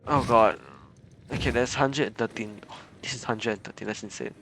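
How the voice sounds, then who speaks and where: A man's voice: medium pitch, brisk, fairly bright tone. A man giving live gameplay commentary as a streamer, often stammering and repeating his words over game music. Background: video game music.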